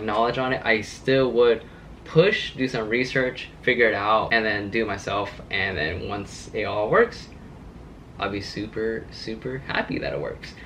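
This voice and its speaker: A man's voice: mid-range voice, a bit bright, a little hiss or hum. A man in his late twenties chatting casually to his vlog audience, rambling and laid-back with plenty of fillers. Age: 20s. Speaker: car vlogger.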